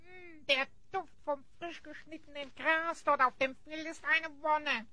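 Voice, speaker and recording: An actor voicing a small, timid, easily offended elf goblin in a fantasy audio drama, squeaky and theatrical. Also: fairly even intonation, unhurried, sharp tone, heavily acted. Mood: dreamy, tired. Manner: whiny, indignant, theatrical.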